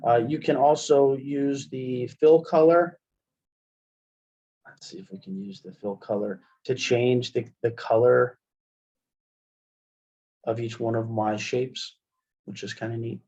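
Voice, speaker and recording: A man giving an informal, step-by-step software demonstration as a webinar instructor, in dull lo-fi call audio. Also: medium pitch, slow, long pauses.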